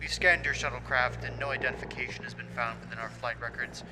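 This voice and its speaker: A man's voice: mid-range voice, steady tone, quick pace, noisy background, natural voice. An actor playing an officious, threatening male fleet commissioner in a fan audio drama. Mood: stern.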